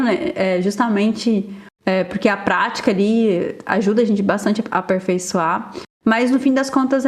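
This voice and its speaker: A Brazilian woman talking casually as a podcast guest, chatty and full of fillers, often acting out what others said. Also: fairly high pitch. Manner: chatty, many fillers, quotes others in lively asides. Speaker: guest software engineer.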